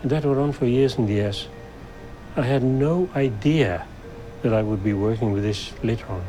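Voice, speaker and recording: A man's voice: medium pitch, normal speed, warm tone, faint music behind, rough recording. An older man with a Danish accent, a scientist recounting his experiences in an interview. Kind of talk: recollective interview storytelling.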